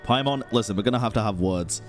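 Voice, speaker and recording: A man doing casual live gaming commentary as a streamer, expressive, slangy and sweary. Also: on the low side, fast. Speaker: game streamer. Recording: game music behind the voice.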